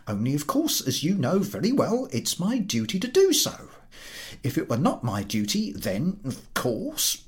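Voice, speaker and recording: A man's voice: high voice, voice pushed up, full character voice. A man voicing an officious, over-zealous head ticket collector in a story reading, pompous and insistent, with a stutter. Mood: defensive, flustered.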